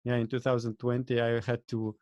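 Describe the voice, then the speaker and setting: Lithuanian guy on a video call, lots of uhs, talks kinda halting, dead dry audio. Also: medium pitch, monotone, darkish tone, no echo, highs cut off. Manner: halting, with many uh fillers and small grammar slips. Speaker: guest, event organizer. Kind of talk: casual interview chat.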